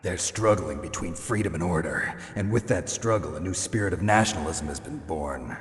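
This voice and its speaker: An actor playing a gruff, stoic, defiant captive soldier in a video game, in a terse, low-key growl. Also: male voice, normal speed, lightly acted. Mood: grim. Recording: echoey hall with long reverb.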